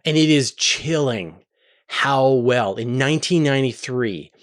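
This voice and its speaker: A man's voice: medium pitch, lively intonation, a little slow. A man talking casually and emphatically to viewers as the host of a space Q&A show, explaining things with enthusiasm.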